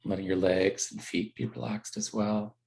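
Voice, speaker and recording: A man teaching a guided meditation in a gentle, unhurried, conversational way with halting pauses. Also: on the low side, fairly even intonation, gravelly.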